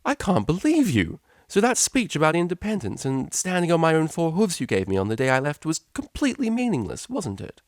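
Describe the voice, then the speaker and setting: A man's voice: fairly high pitch, huge pitch swings, a little fast, hardly any pauses, dry recording, studio quality, voice pushed up. A man voicing a proper young female pony cellist, flustered and easily exasperated, in a story reading. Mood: indignant. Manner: proper, stammering when flustered, indignant.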